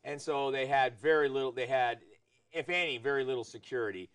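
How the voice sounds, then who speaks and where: A man's voice: medium pitch, fairly quick, a bit bright. A middle-aged American man hosting a political talk show, giving his opinions in conversation.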